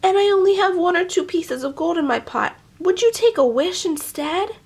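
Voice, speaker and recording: Woman as little male leprechaun, high-pitched, crafty, wheedling, storybook character. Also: lively intonation, normal speed, darkish tone. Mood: pleading. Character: small, clever, pleading male leprechaun.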